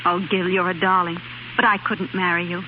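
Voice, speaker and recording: An actress playing an anxious but stubborn young wife in a 1930s radio drama. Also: female voice, fairly low pitch, level tone, fairly quick, lightly acted. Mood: uneasy, reserved. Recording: muffled, noisy 1930s radio recording.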